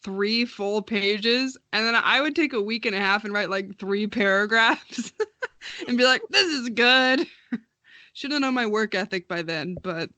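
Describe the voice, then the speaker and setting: A woman's voice: medium pitch, a little slow, bright, forceful tone, no echo. A woman in her early twenties chatting casually as a podcast co-host, rambling, self-mocking and giggly. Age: early 20s. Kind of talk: casual chat.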